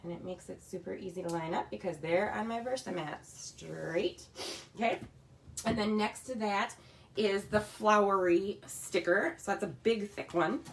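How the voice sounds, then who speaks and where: A woman with a slightly raspy voice, chatting casually as she demonstrates a scrapbooking kit in her home craft room. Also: medium pitch, unhurried. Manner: chatty, talks while working with her hands.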